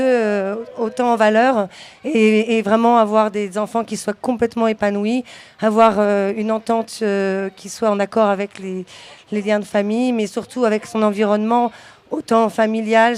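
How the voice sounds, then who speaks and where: A woman's voice: on the high side, steady tone, normal speed, darkish tone, clear, resonant tone. A woman founder of an outdoor school giving a radio interview at an outdoor event, talking fluently but with many fillers and restarts. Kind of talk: radio interview, switching between English and French.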